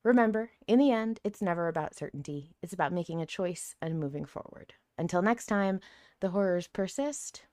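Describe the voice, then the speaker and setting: A woman's voice: on the low side, lively intonation, a little fast, dark tone, no room sound, clean studio sound. A woman hosting a casual fan podcast, chatting in an emphatic, playfully argumentative way. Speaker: podcast host.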